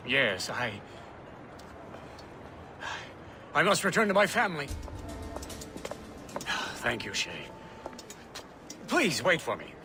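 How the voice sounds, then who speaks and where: A man's voice: mid-range voice, sing-song, measured pace, music in the background. An actor playing a genial, flustered elderly American statesman in a video game, with courtly, hesitant manners. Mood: flustered, amazed. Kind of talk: video game cutscene acting.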